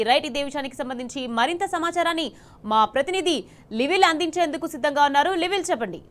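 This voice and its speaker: A woman news anchor delivers scripted bulletins. Her voice sits distinctly high, in a formal newsreading style. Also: fairly bright tone.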